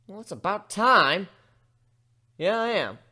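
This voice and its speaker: A man voicing a cocky, sarcastic young male griffin in a story reading, teasing and deadpan. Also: medium pitch, slow, voice pushed up, heavily acted. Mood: nonchalant.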